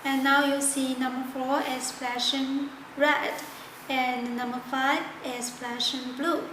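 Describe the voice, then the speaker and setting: A woman narrating a step-by-step product tutorial in halting, simple non-native phrasing. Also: high-pitched, flat delivery. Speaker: product demonstrator. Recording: echoey room with loud background noise.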